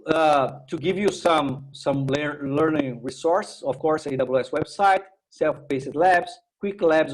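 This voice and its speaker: A man from Brazil with a Brazilian accent and Portuguese as his native language, giving an informal online tech presentation in a halting, repetitive way with "right?" tags. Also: a little high, rough recording. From Minas Gerais, Brazil. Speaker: technical presenter and workshop instructor.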